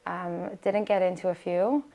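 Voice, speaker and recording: A woman from the United States, raised speaking Hebrew, talks as a podcast guest. Her voice sits a little low, and she chats freely and loosely, full of fillers and restarts. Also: steady tone, a little slow, warm tone. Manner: chatty, many fillers and restarts. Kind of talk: casual interview talk.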